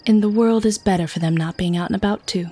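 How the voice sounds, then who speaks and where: A woman acts as a cynical vampire lady who runs her mortals like a warden. She is teasing, nonchalant and a little haughty, speaking at a middling pitch in an intimate ASMR roleplay manner. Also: quick pace, warm tone, natural voice. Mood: dismissive, amused. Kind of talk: ASMR audio roleplay acting.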